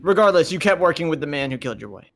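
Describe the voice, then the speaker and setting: Man, slightly high pitch, insistent, confrontational, improvised roleplay, faint background noise. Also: lively intonation, rapid-fire. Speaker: tabletop roleplay player. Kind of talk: improvised in-character interrogation with table chat.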